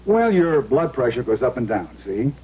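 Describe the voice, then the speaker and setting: A man's voice: mid-range voice, very animated, moderate pace, breathy edge. An American actor playing a knowing, patient man in a 1970s radio public service spot. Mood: explaining. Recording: dull vintage radio broadcast.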